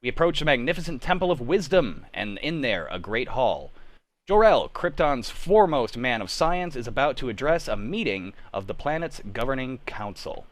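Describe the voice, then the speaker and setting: Man doing a grand, animated old-time radio announcer. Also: mid-range voice, normal speed, pitched below the performer's own voice, natural voice. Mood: grand, storytelling. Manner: theatrical, emphatic. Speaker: announcer in an amateur live script reading. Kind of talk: 1940s radio serial narration.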